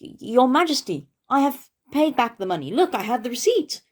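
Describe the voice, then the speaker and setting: A woman's voice: on the high side, sing-song, moderate pace, voice pushed up. A woman voicing a proud, vengeful male borrower in a children's storybook reading. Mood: nervous.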